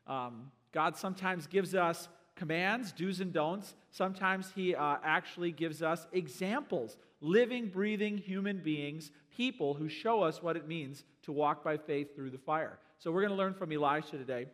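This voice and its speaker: A man with a high-pitched, slightly hoarse voice preaches to his congregation. He is casual and joking, then animated as he builds a point. His voice rings out with a long reverb through the church hall. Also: normal speed, few pauses. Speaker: pastor. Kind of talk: sermon. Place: church sanctuary.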